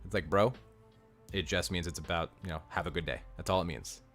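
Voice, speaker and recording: Young male coach, deep low voice, blunt and repetitive, casual live-stream advice, faint music under it. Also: brisk, a bit bright. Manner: blunt, direct advice, repeats key points.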